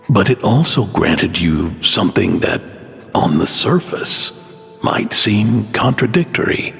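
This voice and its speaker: A man playing a gentle, wise scholar in a video game, speaking in a measured, solemn, reflective way with a low, creaky voice. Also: full character voice. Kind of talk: scripted dramatic game monologue. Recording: long hall reverb over music.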